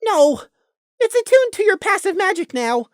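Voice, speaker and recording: Woman voicing a shrill frantic male pony. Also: sing-song, normal speed, dry recording, studio quality. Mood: frantic shouting. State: strained raw throat.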